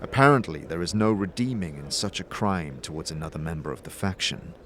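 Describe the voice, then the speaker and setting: A man's voice: deep voice, lively intonation, fairly quick, a little hiss or hum. A man reading scripted second-person narration as the storyteller of a fantasy audio drama.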